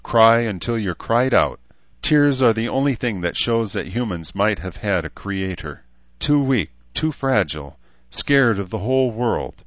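A man's voice: fairly low pitch, expressive, a little slow, no room sound, muffled recording, pitched above the performer's own voice. A man voicing a blunt, wise but caring elf woman who talks in clipped, broken phrases, in an audiobook story. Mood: soothing.

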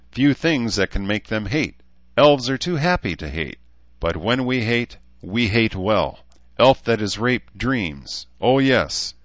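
A man's voice: fairly low pitch, a bit bright, no echo, dull audio, voice pushed up. A man voicing a blunt, wise but caring elf woman who talks in clipped, broken phrases, in an audiobook story.

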